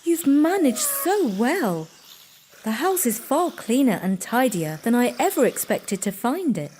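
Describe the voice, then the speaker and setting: A woman playing a kind-hearted, humble young woman in a storybook, with a polite, gentle, earnest manner. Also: fairly high pitch, very animated, unhurried, a little hiss or hum. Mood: pleased.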